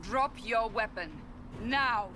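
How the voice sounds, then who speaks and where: A woman's voice: a little high, slow pace, noisy background. An actress playing a grave, suspicious female warrior in a video game, clipped and commanding. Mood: sharp, commanding. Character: stern, suspicious adult female warrior escorting a prisoner. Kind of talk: dramatic video game cutscene acting.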